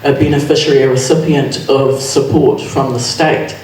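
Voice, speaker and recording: A man's voice: medium pitch, little pitch movement, normal speed, a little mellow, a little hiss or hum. A man, an election candidate speaking sincerely and personally at a public forum. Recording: echoey hall from far off.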